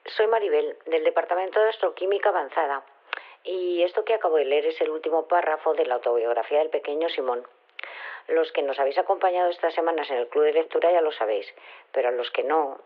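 Earnest, bookish woman on voicemail, sincere, measured, tinny telephone sound, audio drama. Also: sits low. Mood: earnest, enthusiastic. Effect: telephone voicemail filter. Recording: tinny phone-line voicemail.

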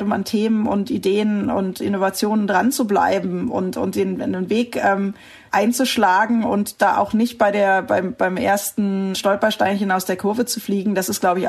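A woman's voice: medium pitch, breathy edge. A middle-aged woman from the Rhineland, answering interview questions in a thoughtful way, often searching for words and laughing easily. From Rhineland, Germany. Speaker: interview guest. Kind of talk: reflective conversational interview.

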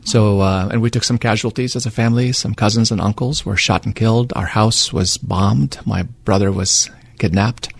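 A man's voice: lowish voice, steady tone, moderate pace, creaky, few pauses, husky, dry recording. A man from Lebanon whose first language is Arabic, speaking in a light Lebanese accent as a radio guest, earnest and thoughtful, with many fillers and restarts. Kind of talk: conversational interview.

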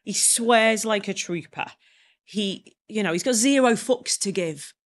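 A woman in her thirties with a Scouse accent, speaking as a podcast guest. Her voice sits at a middling pitch. She tells stories with energy and slips into other people's voices as she quotes them. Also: unhurried. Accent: Liverpool (Scouse). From Liverpool, England. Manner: lively storyteller, acts out quoted voices. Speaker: guest, public speaker and trainer. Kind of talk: podcast interview.

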